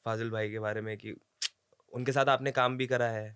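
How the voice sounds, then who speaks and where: A man's voice: medium pitch, little pitch movement, moderate pace, a bit bright, slight background noise. Friendly male podcast host. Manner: friendly, mixes English into questions. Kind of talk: interview questions.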